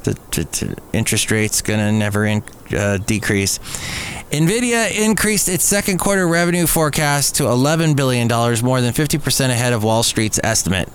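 A man with a mid-pitched voice hosts a podcast, reading through news items in a conversational way. He drops in casual, wry asides as he goes. Faint background noise sits behind his voice. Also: lively intonation, fairly quick. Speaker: podcast host.

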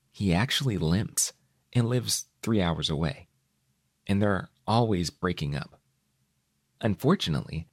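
Male story reader, lowish register, highly animated delivery, conversational and wry, in a dry studio-like sound. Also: dry recording. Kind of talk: expressive first-person story narration in a conversational teenage voice.

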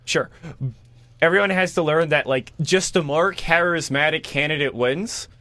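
A man's voice: a little high, moderate pace, bright, forceful tone, no room sound. A young man co-hosting a casual political chat, talking in a rambling, hesitant, ironic way. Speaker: podcast co-host.

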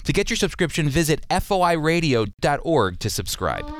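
A man's voice: fairly high pitch, very animated, fairly quick. A man hosting a radio program, teaching the Bible and commenting in an earnest, emphatic, explanatory way.

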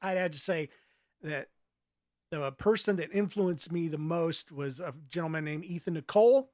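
A man speaking as an author in a casual interview, giving earnest answers in a thoughtful, halting way. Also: a little high, a little mellow, no echo, studio quality.

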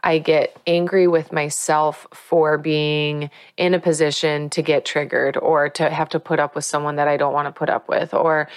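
A woman with a low, steady voice guides listeners through a spiritual practice. She talks in an earnest, coaching way and slows down to press key words home. The sound is close and dry. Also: no echo. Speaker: spiritual teacher and author.

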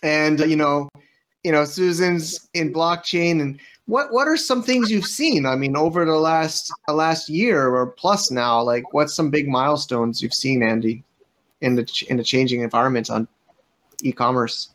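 A man's voice: on the high side, normal speed, fairly bright tone. A man hosting an online panel in a casual, unscripted way, chatty and encouraging with the speakers.

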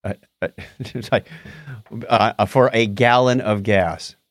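A man hosting a podcast, chatting casually about news in a halting, conversational, mildly skeptical way. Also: on the low side, no echo, clean studio sound. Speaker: podcast host. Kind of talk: casual tech news chat.

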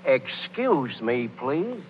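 Trage, vormelijke butler. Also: male voice, medium pitch, sing-song, a little hiss or hum. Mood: deferential. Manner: measured, formal politeness. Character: formal, obliging, deferential butler. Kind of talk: 1930s radio comedy acting. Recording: thin, narrow-band 1930s radio recording.

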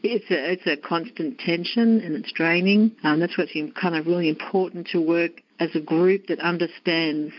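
A woman with an Australian accent, speaking as an education coordinator in a podcast interview, explaining her work in an earnest, measured way. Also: lowish voice, fairly even intonation, no room sound, dull audio. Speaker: education coordinator at a maternity home.

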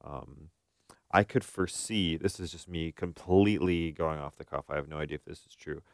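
A man's voice: a bass voice, steady tone, normal speed, no echo, clean studio sound. A man co-hosting a talk show as a therapist, discussing informally and thinking aloud as he goes. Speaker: podcast co-host, therapist. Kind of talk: casual discussion.

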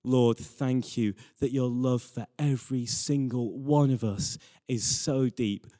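A man's voice: medium pitch, fairly even intonation, takes it slowly, warm tone. A man preaching a sermon in an earnest manner, stressing his points with repeated phrases. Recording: reverberant hall.

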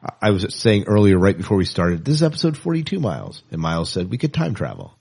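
A man's voice: fairly low pitch, wildly swooping pitch, fast, vocal fry, a little mellow, husky. A man co-hosting a podcast in casual chat, with a playful, teasing way of talking. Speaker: podcast co-host.

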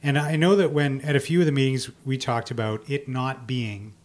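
A man's voice: medium pitch, steady tone, moderate pace. A man asking questions in a conversational interview.

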